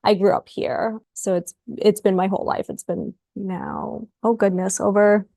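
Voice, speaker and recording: A woman from Ukraine talks as a podcast guest, with a gravelly, fry-edged voice in a dry, close room. She answers thoughtfully and often repeats a word as she starts a new thought. Her pitch sits in a middle range. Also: flat delivery, normal speed, dark tone, no echo. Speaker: guest psychologist. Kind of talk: podcast interview.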